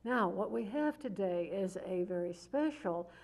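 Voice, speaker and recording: A woman's voice: mid-range voice, animated, a little slow, darkish tone. A woman hosting a community television interview show, welcoming her guest in a friendly, hesitant way with many uhs. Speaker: talk show host.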